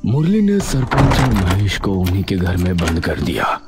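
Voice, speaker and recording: A man narrating a scripted animated story in a dramatic storytelling manner. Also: low-pitched, moderate pace, loud music behind the voice.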